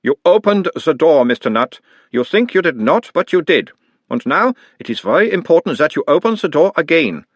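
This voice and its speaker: A calm analyst with a mild Uberwaldian accent coaxes answers in a raised, lilting voice, as a man puts him on. Each question is gentle and probing. The recording is dry and close. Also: fairly high pitch, normal speed, dry recording, pitched above the performer's own voice. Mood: coaxing. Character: orc playing his own analyst, calm, probing.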